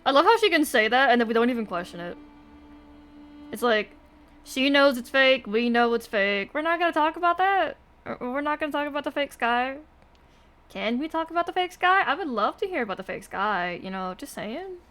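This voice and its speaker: A woman's voice: high voice, expressive, a little fast, a bit bright. A woman streaming a game, giving casual live reactions in a wry, informal way. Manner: wry, informal asides, mild swearing. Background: game music.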